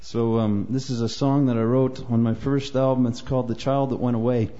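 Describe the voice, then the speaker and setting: A man from Nova Scotia, a folk singer-songwriter, chatting on stage between songs in a soft-spoken, hesitant way with many fillers. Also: medium pitch, fairly even intonation, a little fast. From Nova Scotia, Canada. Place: small live venue on stage. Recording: dull lo-fi live recording with guitar. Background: guitar playing faintly.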